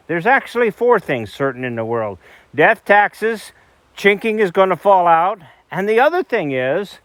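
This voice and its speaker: An older man from Idaho, explaining log building in a folksy, emphatic way, recorded outdoors by a cabin and indoors in a wooden room. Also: high voice. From central Idaho, USA. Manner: folksy, emphatic teacher, gesturing at logs. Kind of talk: explaining outdoors, then interview storytelling.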